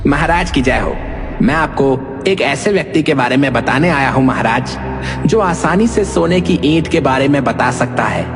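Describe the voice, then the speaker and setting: A man voicing a sly messenger who flatters the king and persuades him. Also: mid-range voice, normal speed, big-room sound, over music, lightly acted. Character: sly male messenger at court.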